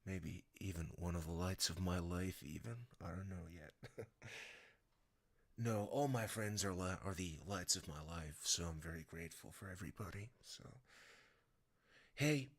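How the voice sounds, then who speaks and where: A man with a deep, low-pitched voice talking to his live-stream viewers in a loose, meandering way. He stumbles, restarts and fills gaps with little fillers. He sounds worn out and unhurried. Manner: rambling, halting, many fillers and restarts. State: tired.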